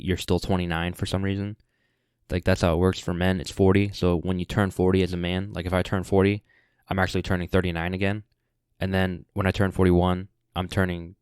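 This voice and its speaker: Low, flat-voiced man in his twenties. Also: clean tone, dry recording, studio quality. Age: 20s. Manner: rambling, many fillers and restarts, laid-back. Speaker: podcast host. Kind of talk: casual solo podcast monologue.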